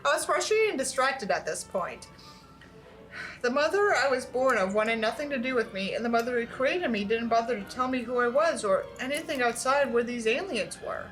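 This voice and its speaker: A woman with a bright, forceful and fairly high voice reads a story aloud. Her delivery is expressive and sarcastic, with an exasperated edge, and music plays underneath. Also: rough recording. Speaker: book reviewer reading aloud with commentary. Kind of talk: audiobook-like reading with sarcastic asides.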